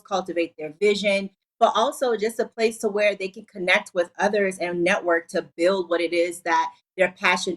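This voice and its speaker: Woman from Liberia giving business tips. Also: mid-range voice. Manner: upbeat, gives advice in clear numbered tips. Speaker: entrepreneur guest. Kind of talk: TV talk show interview.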